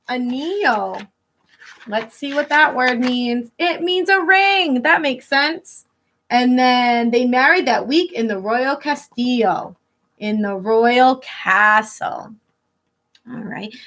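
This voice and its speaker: Bright, high-voiced woman reading a storybook aloud. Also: lively intonation, slow. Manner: teacherly, stops to translate and explain words simply. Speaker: elementary school teacher reading aloud to pupils. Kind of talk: expressive picture-book read-aloud with explanatory asides.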